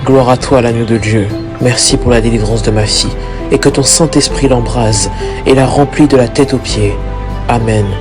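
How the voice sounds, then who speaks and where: A man's voice: mid-range voice, steady tone, normal speed, lightly acted. Man acting earnest devout father over music. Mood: prayerful. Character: devout, protective, remorseful father of a grown daughter. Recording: background music under the voice.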